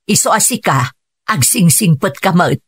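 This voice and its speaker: A woman playing a practical, worried older wife and mother in a radio drama, with an Ilocano accent and a husky voice. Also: lowish voice, lively intonation, normal speed, dry recording. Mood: chiding. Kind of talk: emotive radio drama acting.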